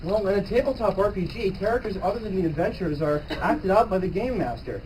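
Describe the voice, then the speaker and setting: A man's voice: high voice, expressive, quick pace, darkish tone. A man playing an earnest teenage boy gamer with an explanatory manner, in a live manga reading recorded from far off. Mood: explaining. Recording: slightly noisy distant live room recording.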